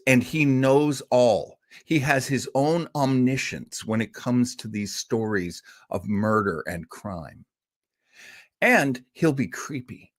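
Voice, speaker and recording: A man hosting an old-time radio show, telling its history informally, with emphatic pauses and repetition. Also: mid-range voice. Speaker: host presenting old-time radio shows. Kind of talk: informal storytelling lecture.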